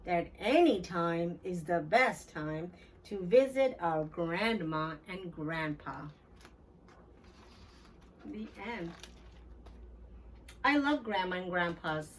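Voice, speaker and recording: A woman reading a children's storybook aloud in an expressive, deliberate storytelling manner for young listeners. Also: lowish voice, far from the mic, slight background noise, poor audio. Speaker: children's book read-aloud host. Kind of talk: scripted storybook narration for children.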